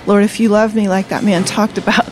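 A woman's voice: mid-range voice, level tone, fairly quick, darkish tone, poor audio. A woman in her sixties from Delaware, with a mid-range voice, tells her life story with frequent laughter. Recorded in a coffee shop, with faint café noise behind her. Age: 60s. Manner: cheerful, laughs often. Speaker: guest, retired teacher. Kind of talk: personal testimony in conversation.

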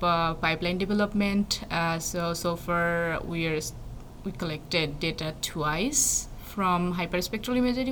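A woman's voice: lowish voice, a bit bright. A young woman from Bangladesh, a plant breeding PhD student, answering interview questions thoughtfully with many fillers and restarts. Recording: faint background noise.

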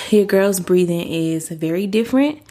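A woman's voice: animated, takes it slowly. A young woman hosting a faith-based podcast, six months pregnant and a little out of breath, talking earnestly and casually to her listeners. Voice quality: deeper than usual. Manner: earnest, chatty, uses y'all. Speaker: podcast host.

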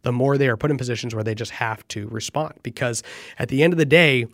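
A man hosts his political podcast, his voice nasal and stuffy from allergies. He speaks at a middle pitch, earnest and emphatic, and gets louder and higher when he is fired up. The sound is close and dry, with no room echo. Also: fast talker. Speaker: podcast host. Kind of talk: political commentary monologue.

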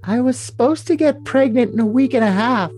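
A man's voice: extremely high pitch, fairly quick, darkish tone, soft background music. A man playing a girlish, clingy young woman in a cutesy, overly dramatic reading of text messages. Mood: pleading. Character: girlish, clingy, babyish young woman texting her boyfriend.

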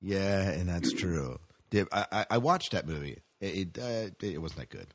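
A man co-hosting a casual podcast chat about science fiction, halting and repeating his phrases as he steers the talk. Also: low-pitched, lively intonation. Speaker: podcast co-host.

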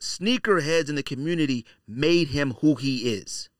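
A man's voice: fairly high pitch, moderate pace, no echo, studio quality. A man hosting a podcast in a casual, opinionated monologue, blunt and slangy, repeating phrases for emphasis. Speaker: podcast host.

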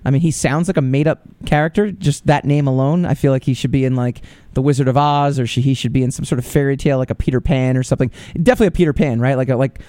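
A man's voice: mid-range voice, fairly even intonation, quick pace, mellow, no room sound, studio quality. A man hosting a podcast, giving casual, opinionated commentary with lots of fillers and restarts. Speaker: podcast host.

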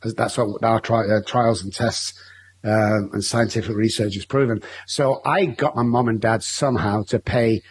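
Husky-voiced man with a Manchester accent. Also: on the low side, steady tone, moderate pace. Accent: Mancunian English. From Manchester, England. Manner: candid, rambling storytelling with repeated restarts. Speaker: guest. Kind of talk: interview storytelling.

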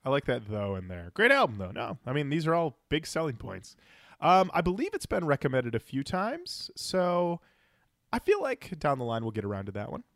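A man's voice: a little high, wildly swooping pitch, moderate pace, a bit bright, dry recording. Casual male podcast host, dry close sound. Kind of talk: casual podcast chat, reading out listener feedback.

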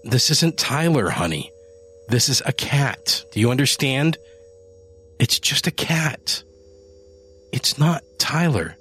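Patient husband, gentle and worried; a man reads him at a slow pace. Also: medium pitch, expressive, slightly rough, pitched above the performer's own voice, heavily acted. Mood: firm.

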